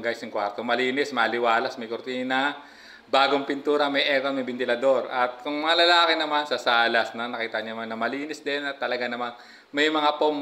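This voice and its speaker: A man's voice: medium pitch, pushed and bright. A middle-aged man commenting over a clip to his viewers in a casual, chatty way, often tagging lines with "no, guys?" into a phone held close. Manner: chatty, frequent filler "no, guys?". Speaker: reaction vlogger.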